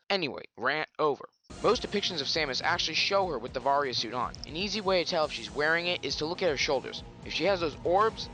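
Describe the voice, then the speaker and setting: Sarcastic, animated gaming commentator. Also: male voice, medium pitch. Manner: sarcastic, profane, ranting. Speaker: gaming video creator. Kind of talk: scripted game commentary.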